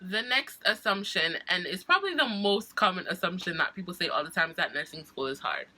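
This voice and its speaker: A young woman talks to her camera, her voice stuffy from a cold. The tone is bright and forward, set in a lowish register. She chats loosely, laughing and restarting often. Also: lively intonation, brisk. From Jamaica. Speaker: nursing student vlogger. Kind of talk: casual chat to camera.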